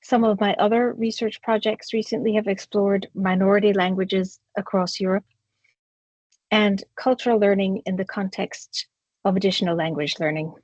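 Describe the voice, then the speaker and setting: A woman's voice: mid-range voice, fairly even intonation, normal speed, darkish tone, dry recording. American woman academic, dull dry audio. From United States. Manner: hesitant with uh fillers. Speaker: assistant professor in psychology. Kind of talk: self-introduction.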